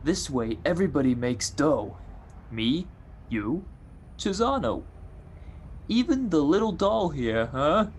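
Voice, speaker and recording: Man acting a small-time tough guy, unhurried, slangy, greedy, dim, streetwise, old radio crime drama. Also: fairly high pitch, sing-song, a little hiss or hum. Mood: greedy, excited. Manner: drawn-out streetwise gangster slang.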